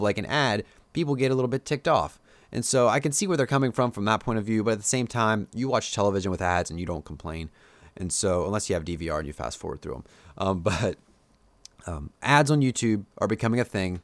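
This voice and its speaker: A man with a Pennsylvania Dutch accent talks to his viewers in a low-pitched voice, rambling loosely with plenty of "um"s and "like"s. He shares strong opinions in a relaxed, off-the-cuff way. The sound is close and dry, with no room around it. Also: no room sound. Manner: rambling, filler-heavy, opinionated. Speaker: YouTuber. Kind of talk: casual live-stream commentary.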